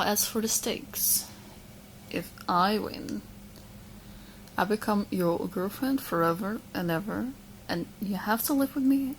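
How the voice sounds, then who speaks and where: A woman's voice: mid-range voice, a little hiss or hum, lightly acted, low-quality recording. A woman playing an obsessive, smug young witch in love, chatting coyly to the listener in a roleplay audio drama. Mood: smug, possessive. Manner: coy, smug, chatty, hesitant asides.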